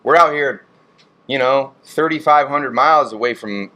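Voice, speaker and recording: A man, a managing partner, speaking in a measured, businesslike way in a casual interview. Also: mid-range voice, sharp tone. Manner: measured, businesslike, talks in brand terms.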